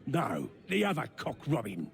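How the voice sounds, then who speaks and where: An actor playing a belligerent, sneering soldier in a video game. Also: male voice, medium pitch, huge pitch swings, normal speed, gravelly, noisy background. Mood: taunting. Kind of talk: video game cutscene acting.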